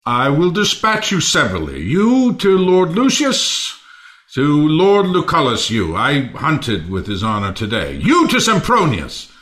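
A man playing a proud, generous, naively trusting Athenian lord in a Shakespeare audio play, declaiming theatrically with sudden hushed drops. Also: fairly high pitch, huge pitch swings, unhurried, exaggerated voice. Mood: confident, commanding.

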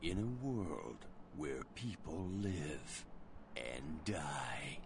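A man's voice: very deep voice, big pitch swings, extremely slow, vocal fry, heavily acted. A man playing a grave, portentous movie trailer announcer in a game's parody radio spot. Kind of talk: parody film trailer narration. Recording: trailer with noisy background.

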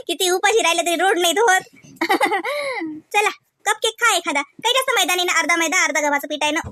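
A woman voicing a homely housewife hostess in a comedy cartoon, polite on the surface and privately sharp-tongued. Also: very high-pitched, no echo, studio quality, heavily acted. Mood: cheerfully pointed. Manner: polite and chatty, with catty muttered asides. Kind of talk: exaggerated cartoon comedy dialogue.

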